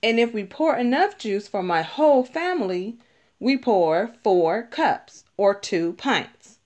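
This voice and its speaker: Slow, lively-pitched woman reading to children. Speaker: read-aloud narrator. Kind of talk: children's picture book read-aloud.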